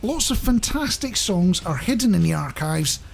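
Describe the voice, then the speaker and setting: Animated, jokey male radio host. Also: high voice, normal speed, creaky, soft background music. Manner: jokey, rambling, addresses the listener directly. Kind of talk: chatty radio presenting.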